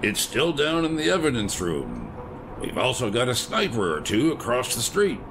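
An actor playing a weary, stubborn veteran police commissioner in an audio drama. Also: male voice, medium pitch, wildly swooping pitch, normal speed, music in the background. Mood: firm.

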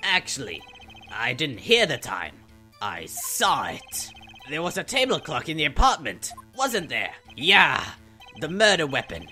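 A man's voice: a little high, huge pitch swings, measured pace, sharp tone, soft background music, exaggerated voice. A man voicing a shifty, hot-tempered male witness who stammers and blusters in a fan-dubbed video game. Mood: evasive.

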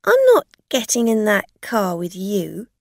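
A woman performs a scheming, aggrieved woman in an audio story. She sounds insistent and accusing, her pitch raised above plain narration. Words come very slowly and deliberately, close and dry. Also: lively intonation, dry recording. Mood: angry, accusing. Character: scheming, angry woman accuser demanding, playing the victim. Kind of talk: acted dialogue in an audio story.

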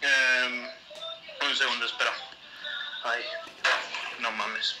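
A man's voice: medium pitch, flat delivery, slow pace. A man answering a phone call in conversation, heard over a speakerphone. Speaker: prank call recipient. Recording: speakerphone in echoey room, loud music.

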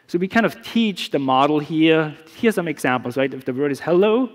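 A middle-aged man whose first language is German, giving a conference talk with a live demo in a relaxed, explanatory way with many restarts. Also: fairly high pitch, normal speed. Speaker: security researcher. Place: large conference stage. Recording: long reverb of a big hall.

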